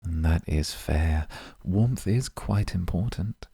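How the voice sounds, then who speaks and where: A man plays a cool male incubus in an ASMR roleplay, his voice very husky and full of gravelly creak. He speaks darkly and formally, with dry teasing and courtly devotion. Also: deep voice, dark tone, dry recording, exaggerated voice. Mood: dry, solicitous. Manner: formal, measured phrasing.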